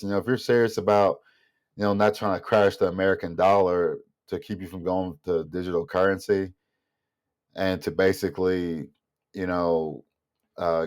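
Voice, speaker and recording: A man's voice: low-pitched, steady tone, moderate pace. A man giving a casual, rambling opinion monologue as a vlogger, sounding nasal and congested from a mild illness. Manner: rambling, thinking aloud.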